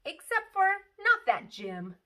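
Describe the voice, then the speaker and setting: A woman's voice: high-pitched, wildly swooping pitch, slow, pushed and bright, voice pushed up, full character voice. A woman reading a children's storybook aloud as a bold, chatty little kindergarten girl, emphatic and exclamatory. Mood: bossy, proud. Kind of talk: expressive children's read-aloud.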